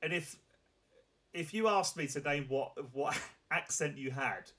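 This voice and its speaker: A man's voice: on the high side, a little slow, fairly bright tone. Rambling male chat show host. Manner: rambling, filler-heavy questions with many restarts. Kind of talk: casual chat show on a live stream.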